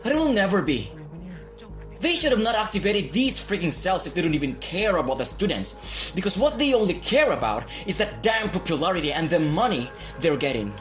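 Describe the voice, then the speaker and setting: A man's voice: sits high, sing-song, fairly quick, soft background music. A man voicing a resentful young student who tells his story earnestly and turns angry in a student radio drama. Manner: earnest, rising to anger. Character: angry young male student from the lowest class.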